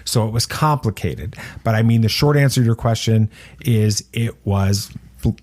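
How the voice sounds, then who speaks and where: A man's voice: on the low side, normal speed, darkish tone. A man hosting a casual panel podcast, leading the discussion and repeating words for emphasis. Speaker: podcast host.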